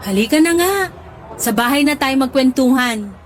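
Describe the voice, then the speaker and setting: A woman acting a doting middle-aged mother in a radio drama, teasing and gently scolding her grown son. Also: sits high, lively intonation, moderate pace, loud music behind the voice. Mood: reassuring.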